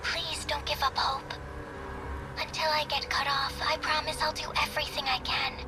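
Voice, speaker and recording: A gentle young female analyst played by a woman in a video game, earnest and encouraging. Her voice is very high and girlish, with music clearly playing behind it. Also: level tone, moderate pace, natural voice. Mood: gentle, pleading. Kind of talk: English game dub voice acting.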